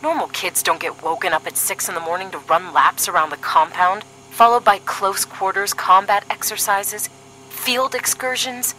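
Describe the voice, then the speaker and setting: Female actor as sardonic young special agent, bright crisp-edged tone, mid pitch, dry sarcasm, audio drama. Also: fairly even intonation, normal speed, slight background noise, lightly acted, low-quality recording. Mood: wistful. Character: sardonic, duty-minded young female paramilitary agent, weary sister. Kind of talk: scripted audio drama dialogue.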